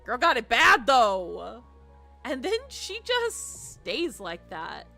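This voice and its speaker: A woman's voice: a little high, wildly swooping pitch, slow pace, gravelly, pushed and bright. A woman streamer reading a visual novel aloud expressively, with playful, teasing asides. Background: music.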